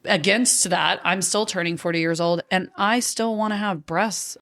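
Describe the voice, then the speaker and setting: A woman's voice: mid-range voice, fairly even intonation, normal speed, fairly bright tone. A woman nearing forty hosts a podcast and tells her own story in a blunt, profane, unfiltered way, breaking into laughter often. Her voice sits in the middle range. The sound is dry and close, with no room echo. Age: 30s. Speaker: podcast host. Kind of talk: casual storytelling chat.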